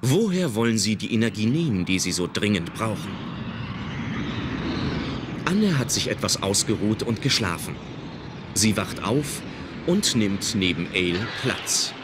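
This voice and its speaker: A man's voice: lowish voice, big pitch swings, unhurried, long pauses, heavy background noise. A man reading scripted narration for an audio drama. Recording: loud music under the voice.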